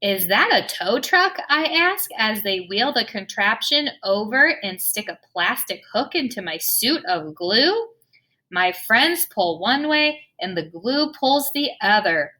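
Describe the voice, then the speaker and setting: Bright, animated woman reading a picture book. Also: fairly high pitch, takes it slowly. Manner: expressive, playful sound words. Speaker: storybook reader. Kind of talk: children's picture book read-aloud.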